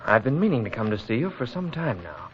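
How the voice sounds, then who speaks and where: A man's voice: mid-range voice, sing-song, quick pace, close to an everyday voice. A man playing a troubled, earnest young townsman with a formal manner in a 1940s radio drama. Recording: muffled, noisy vintage radio recording.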